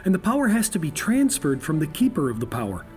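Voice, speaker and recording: A middle-aged scientist and old ranger, played by a man in a fan audio drama, speaking in a calm, measured way. His voice is fairly high for a man and moves lively up and down. Faint music runs under him. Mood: thoughtful.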